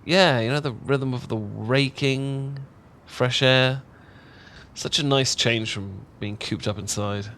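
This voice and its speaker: A man's voice: medium pitch, slow pace, fairly bright tone, slight background noise. A man with an English accent acting a bashful, affectionate young roommate in an intimate ASMR roleplay, chatty and stammering when flustered. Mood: warm, chatty. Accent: British, English. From England. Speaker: audio roleplay voice actor.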